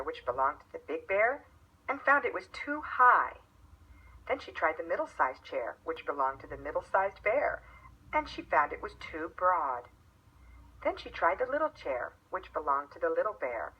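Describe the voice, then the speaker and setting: Female narrator for a children's story: animated, measured, slightly husky, on somewhat lo-fi audio. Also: fairly low pitch. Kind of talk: scripted fairy tale narration.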